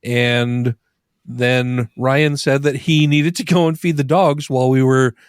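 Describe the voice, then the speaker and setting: Man, podcast host, hoarse, stuffy, giggly, rambling, dry close mic. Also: mid-range voice, steady tone, a little slow, no room sound. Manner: giggly, rambling, many restarts. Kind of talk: casual podcast chat.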